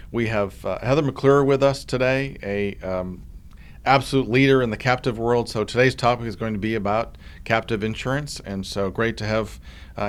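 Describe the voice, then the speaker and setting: A man hosting a podcast interview, talking in a relaxed way with many uh and um fillers. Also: fairly low pitch. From Oklahoma. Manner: relaxed, filler-heavy delivery with frequent uh and um. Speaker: podcast host, insurance commissioner.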